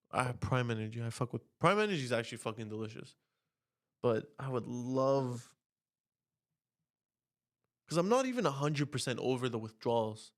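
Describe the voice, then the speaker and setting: A young man with a stuffy, nasal voice talks straight to camera in a rambling podcast monologue. He swears constantly and his pitch climbs sharply when he gets worked up. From Canada. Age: young adult. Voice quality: nasal, congested. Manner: profane, rants with rising pitch. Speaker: podcast host. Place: home, on camera.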